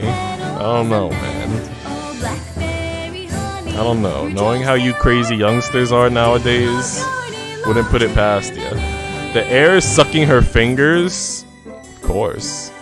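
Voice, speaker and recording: A man live streaming in a joking, teasing, slangy way, switching between casual chat and reading story narration aloud. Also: mid-range voice. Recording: background music under voice. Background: music.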